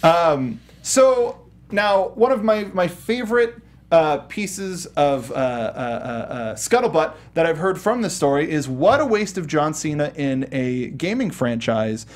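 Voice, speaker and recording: A man's voice: fairly high pitch, big pitch swings, measured pace. A man hosting a panel discussion on entertainment news, riffing in a casual way and swearing now and then. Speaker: talk show host.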